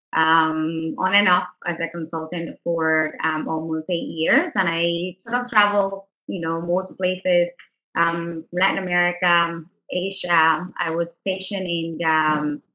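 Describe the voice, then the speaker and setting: A woman's voice: fairly low pitch, sharp tone. A woman from Canada casually introducing herself on a webinar, chatty with many fillers and restarts. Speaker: co-founder presenting on a webinar. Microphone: video call. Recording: lo-fi online call audio.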